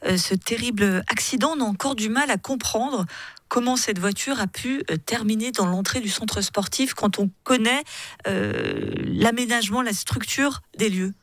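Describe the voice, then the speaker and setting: A woman's voice: fairly high pitch, very animated, moderate pace, a bit bright, no echo. A woman, hosting a radio interview and asking direct, composed questions. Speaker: radio host.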